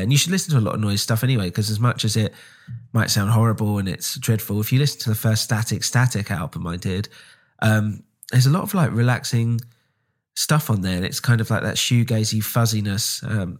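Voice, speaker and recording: A man's voice: fairly low pitch, fairly quick. A man hosting his own podcast, talking casually with many ums and restarts, nasal from a cold. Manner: rambling, frequent ums and restarts. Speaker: podcast host. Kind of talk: casual solo update.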